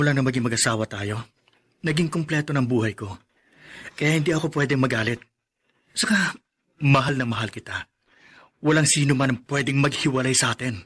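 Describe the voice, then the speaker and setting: Devoted husband, gentle, protective, mid-pitched voice, radio drama. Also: male voice, breathy edge. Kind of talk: Filipino radio drama acting.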